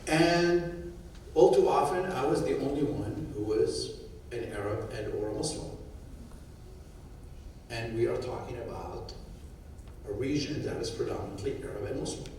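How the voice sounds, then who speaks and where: A man's voice: medium pitch, moderate pace, pauses often, a little mellow, slight background noise. Man from Syria, middle-aged, off-the-cuff panel talk, distant mic, very echoey hall. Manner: thoughtful, with frequent restarts. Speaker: conference panelist. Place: conference hall.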